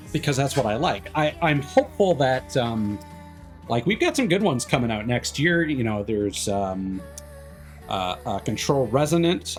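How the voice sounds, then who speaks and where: A video game streamer giving casual, analytical commentary, rambling and often trailing off mid-thought. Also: mid-range voice. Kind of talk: casual livestream commentary while gaming.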